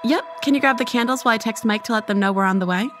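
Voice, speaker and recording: A woman's voice: fairly high pitch, very fast, faint music behind, close to an everyday voice. A woman playing a breezy, persuasive, gossipy young college friend in an audio horror drama. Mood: reassuring.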